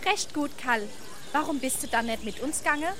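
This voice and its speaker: Outspoken young sweetheart, played by a woman, high voice, Darmstadt dialect, crowd murmuring behind. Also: brisk, close to an everyday voice. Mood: fond, scolding. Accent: Hessian, Darmstadt dialect. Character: young daughter, affectionate but outspoken sweetheart. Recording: crowd noise around the voice.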